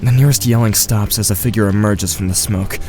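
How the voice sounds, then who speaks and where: A man playing a watchful, tentative teenage boy, giving measured first-person narration in an audio drama. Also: lowish voice, fairly even intonation, music in the background, natural voice. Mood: measured, tense.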